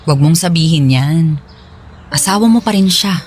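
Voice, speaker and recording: A woman playing a gentle, forgiving friend in a radio drama, talking in a casual, reassuring way. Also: low-pitched, normal speed, slight background noise, close to an everyday voice. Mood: gentle, resigned. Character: gentle, forgiving female friend, once publicly shamed. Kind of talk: Filipino radio drama dialogue.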